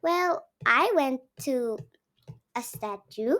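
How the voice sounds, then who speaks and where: High-voiced little Indian girl. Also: expressive, very slow, a bit bright. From Gujarat, India. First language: Gujarati. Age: child, seven years old. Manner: simple, teacher-like explaining with repetition. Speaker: young channel host. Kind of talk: reading a story aloud and explaining it to viewers.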